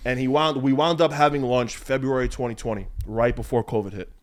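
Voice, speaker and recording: A young man talks at a rapid clip in a relaxed podcast conversation. He is emphatic and heartfelt, swears freely, and keeps checking in with his listeners. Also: mid-range voice. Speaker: podcast guest, clothing brand owner. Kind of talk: casual chat.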